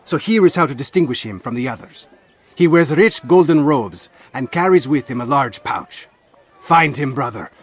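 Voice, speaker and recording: Husky, earnest assassin informant. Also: male voice, medium pitch, animated, normal speed. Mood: grateful, grave. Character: earnest male assassin informant asking favors. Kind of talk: video game cutscene acting.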